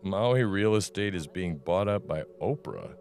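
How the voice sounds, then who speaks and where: A man with a low baritone voice hosting a livestream, talking casually in a rambling, blunt and profane way. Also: lively intonation, unhurried, darkish tone, faint music behind. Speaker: livestream host.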